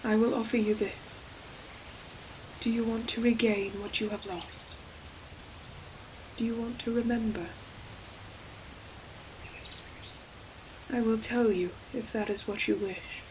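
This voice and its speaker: A woman voicing an ancient, gentle forest demon in a scripted audio roleplay, speaking tenderly in archaic storybook phrasing. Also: fairly high pitch, fairly even intonation, normal speed, pauses often, natural voice. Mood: solemn, fond. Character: ancient gentle forest demon, hulking moss-covered creature. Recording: clear forest ambience noise behind voice. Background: forest nature sound effects with animals.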